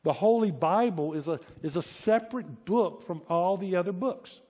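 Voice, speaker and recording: Male preacher, middle-aged, Southern US accent, slightly high-pitched, informal storytelling delivery, long hall reverb. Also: expressive, normal speed, warm tone. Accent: Southern American. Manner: folksy, informal, repeats phrases for emphasis. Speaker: pastor preaching. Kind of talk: conversational sermon with personal anecdotes. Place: church hall, preaching to a congregation.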